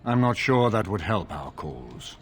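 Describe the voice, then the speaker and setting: Low-voiced, stern older nobleman. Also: big pitch swings, a bit bright, faint music behind. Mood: grave, reasoning. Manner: formal, measured, authoritative. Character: stern, grave older nobleman of a fantasy land. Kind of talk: video game dialogue acting.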